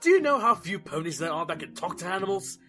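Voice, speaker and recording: A man's voice: sits high, very animated, fast talker, pushed and bright, soft background music, heavily acted. A man playing a no-nonsense, easily exasperated pony police inspector in an audio drama. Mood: incredulous.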